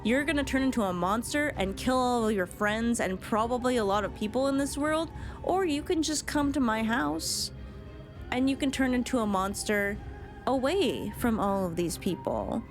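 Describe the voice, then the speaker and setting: A woman's voice: fairly high pitch, expressive, moderate pace. A woman streamer giving casual, musing, sweary live commentary on a game in an informal way. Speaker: streamer reacting to game cut-scenes. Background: faint game music.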